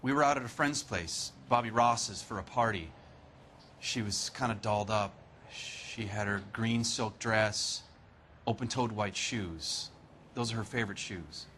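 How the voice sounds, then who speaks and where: A man's voice: fairly low pitch, a little slow, fairly bright tone, slight background noise. An actor playing an anxious, defensive husband and father in a video game, hesitant and plain-spoken. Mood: uneasy. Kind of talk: video game cutscene acting.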